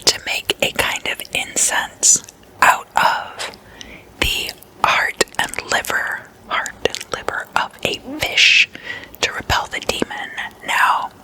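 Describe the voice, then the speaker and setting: An ASMR host speaking in an unvoiced, breathy whisper close to the microphone, telling stories casually and softly repeating words. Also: slow, rough recording.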